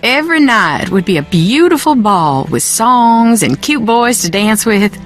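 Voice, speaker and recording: A woman's voice: a little high, big pitch swings, a little slow, faint music behind. A woman playing a troubled, haughty woman in a video game, brittle and curt, with a Southern US accent. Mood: wistful, uneasy.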